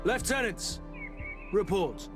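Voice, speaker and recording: A commanding guard captain, talks in a clipped, direct way with a dry edge. His voice is slightly high for a man, with clear music behind it in a fantasy game scene. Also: lively intonation, slow. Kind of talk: dramatic video game dialogue.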